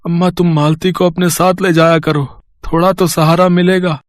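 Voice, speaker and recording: A man's voice: high-pitched, little pitch movement, moderate pace, close to an everyday voice. A man playing a caring, guilt-ridden blind son, regretful and concerned, in a family cartoon. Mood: guilty, worried.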